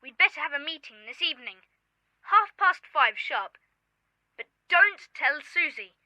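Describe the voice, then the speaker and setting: A woman's voice: high voice, expressive, unhurried, pushed and bright, no room sound, exaggerated voice, poor audio. A woman playing a stern, bossy schoolboy club leader in a children's audio drama.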